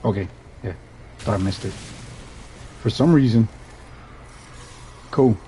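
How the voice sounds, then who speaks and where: Young puerto rican guy streaming, spanish is his first language, mellow easygoing voice, rambles and restarts a lot. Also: lowish voice, takes it slowly, a little hiss or hum. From Puerto Rico. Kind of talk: casual gameplay commentary.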